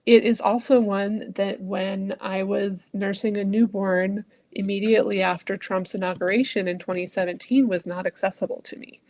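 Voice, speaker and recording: A woman minister speaking in a thoughtful, searching way, often trailing off softly at the ends of phrases. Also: medium pitch, little pitch movement, normal speed, few pauses. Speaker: Unitarian Universalist minister. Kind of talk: reflective conversational talk.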